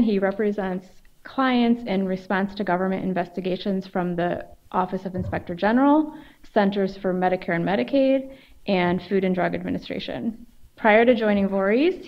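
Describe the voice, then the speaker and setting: A woman moderating a law school panel, reading formal introductions of the speakers in a measured way. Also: mid-range voice. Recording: dull lo-fi sound.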